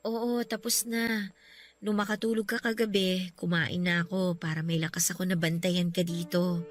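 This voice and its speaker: A woman's voice: on the low side, little pitch movement, normal speed, faint music behind, close to an everyday voice. A woman voicing a grieving young wife who blames herself, tearful and pleading, in a radio drama. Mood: hushed weary. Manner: tearful, pleading, self-blaming. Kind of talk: Filipino radio drama acting.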